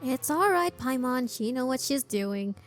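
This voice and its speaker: A woman's voice: high voice, expressive, unhurried, noisy background. A woman doing casual, chatty gameplay commentary in informal non-native English. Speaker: game streamer. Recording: game music behind the voice. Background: video game music.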